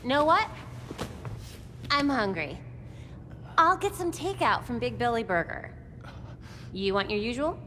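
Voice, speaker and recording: A woman's voice: a little high, lively intonation, unhurried, pauses often, pushed and bright. A woman playing a caring, upbeat young crimefighter in a video game, talking naturally and reassuringly. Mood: gently reassuring. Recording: faint background noise.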